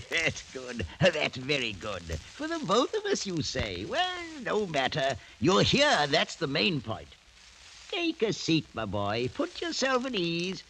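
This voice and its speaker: A man's voice: on the high side, huge pitch swings, slow pace, bright, forceful tone, full character voice. An actor playing a menacing, sly old French nobleman in a theatrical, mock-courteous radio style. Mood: gleeful, sly. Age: older. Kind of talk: 1940s-50s radio acting. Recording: noisy vintage radio drama recording.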